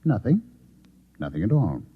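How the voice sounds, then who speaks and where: A man playing a coolly menacing Martian infiltrator posing as a newspaper man in an old-time radio drama, measured, formal and insinuating. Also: lowish voice, big pitch swings, slightly rough, exaggerated voice. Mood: controlled, probing. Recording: echoey, phone-like old radio drama recording.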